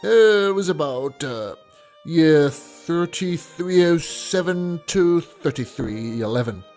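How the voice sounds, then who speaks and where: A man's voice: on the high side, animated, takes it slowly, darkish tone. A man playing a bookish academic researcher in an audio story, narrating his findings on a legend in formal, scholarly lecture style. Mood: measured, scholarly. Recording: music under the voice.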